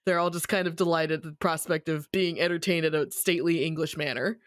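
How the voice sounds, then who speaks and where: A podcast host giving a casual, slangy and wry recap of a story in an animated way. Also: mid-range voice, brisk, clear, resonant tone, no echo, clean studio sound.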